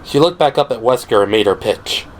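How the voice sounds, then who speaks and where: Measured male audio drama narrator, noisy background. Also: mid-range voice, animated. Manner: measured, scripted storytelling.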